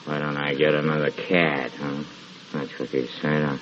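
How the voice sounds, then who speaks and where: An actor playing a drunken, haunted husband in old-time radio horror, muttering haltingly and repeating himself. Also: male voice, rumbling low, level tone. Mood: morose, drunken. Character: drunken, haunted husband, brooding and evasive about a cat. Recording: dull, hissy old radio recording.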